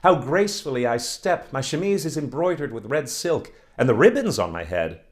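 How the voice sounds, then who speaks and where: A man's voice: medium pitch, very animated, moderate pace, voice pushed up, lightly acted. A man voicing a vain, teasing young village beauty who admires herself and mocks her suitor. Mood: delighted. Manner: coquettish, haughty, admiring herself.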